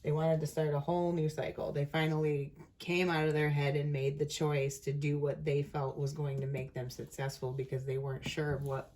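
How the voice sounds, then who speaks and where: A woman giving a casual, unscripted tarot reading, talking conversationally and thinking aloud with pauses as she goes. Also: sits low, level tone, a little mellow. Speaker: tarot reader hosting an online reading.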